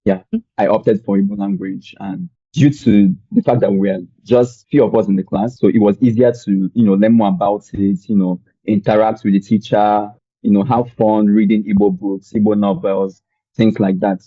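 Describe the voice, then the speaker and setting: A young man from Nigeria with a Nigerian accent, telling his story as a podcast guest in a relaxed, rambling way with many fillers. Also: on the low side, normal speed, slightly rough, no echo, lo-fi sound. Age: young adult. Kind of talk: conversational storytelling.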